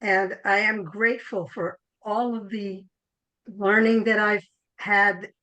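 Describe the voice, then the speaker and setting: Woman, slow measured pace, bright tone, self-introduction. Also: medium pitch, fairly even intonation, low-quality recording. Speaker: panelist.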